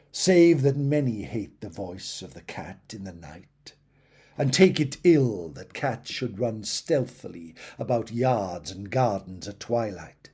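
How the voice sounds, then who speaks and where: A man reading a classic tale as a narrator, slow and dramatic, letting his phrases fall away at their ends. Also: lowish voice, dark tone, breathy edge. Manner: slow, dramatic storytelling with falling phrase endings.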